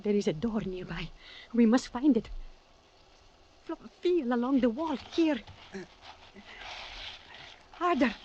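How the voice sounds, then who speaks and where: A woman's voice: fairly high pitch, expressive, slow, long pauses, darkish tone, dull audio. An actress playing a fierce, weary, defiant woman of a port underworld in a 1940s radio drama, theatrical and commanding. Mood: urgent. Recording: restored 1940s radio broadcast, audible noise.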